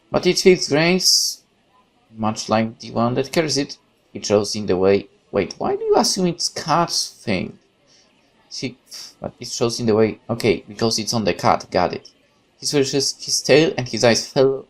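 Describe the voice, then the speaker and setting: A man doing a let's play, reading game text aloud and adding chatty, expressive commentary. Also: lowish voice, vocal fry. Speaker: gaming YouTuber. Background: guitar music.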